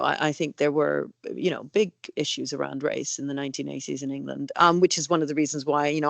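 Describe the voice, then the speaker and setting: A middle-aged woman from Canada, a school headteacher speaking as an interview guest in an animated, talkative way with many fillers and restarts. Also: low voice, creaky, no echo. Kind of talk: conversational interview.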